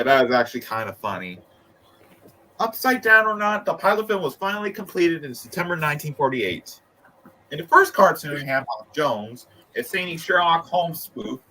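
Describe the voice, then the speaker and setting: A man's voice: fairly high pitch, animated, moderate pace, sharp tone, slight background noise, low-quality recording. A man reading a book aloud with casual asides, sometimes stumbling over words and repeating them. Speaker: host of a group read-aloud.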